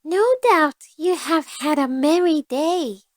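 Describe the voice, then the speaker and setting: Woman voicing an eager high-pitched little storybook mouse. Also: animated, slow pace, no echo, clean studio sound. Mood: cheerful, curious. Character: small trusting inquisitive female mouse.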